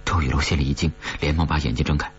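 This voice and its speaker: A man narrating a horror audiobook in a dramatic, suspenseful way with measured phrases and deliberate pauses. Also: rumbling low, fairly even intonation, highs cut off, a little hiss or hum.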